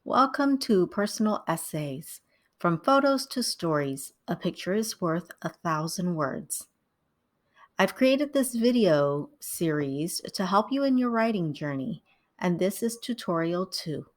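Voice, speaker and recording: Middle-aged woman, lowish voice, calm and measured tutorial narration. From Vietnam, raised in the United States. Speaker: writing tutor. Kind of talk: scripted instructional narration.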